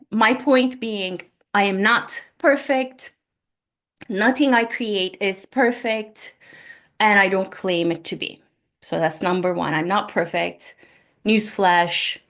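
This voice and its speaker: Slow, gentle woman. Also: medium pitch. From Turkey. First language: Turkish. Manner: gentle, earnest, repeats phrases for emphasis. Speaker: spiritual healer and podcast host. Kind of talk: spiritual monologue to listeners. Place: home. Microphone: microphone on a laptop.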